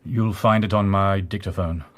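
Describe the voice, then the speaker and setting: An actor playing an arrogant, imperious brewery heir in a radio drama, curt and haughty, with a husky voice. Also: male voice, low voice, fairly even intonation, moderate pace, close to an everyday voice. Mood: commanding.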